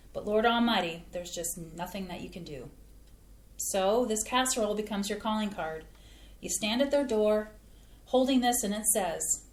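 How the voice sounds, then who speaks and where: A woman's voice: mid-range voice, natural voice. A woman acting a sincere, homespun mother and home cook in a scripted staged play reading. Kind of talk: scripted community theatre acting. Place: indoor playhouse staged reading. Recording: echoey room with background noise.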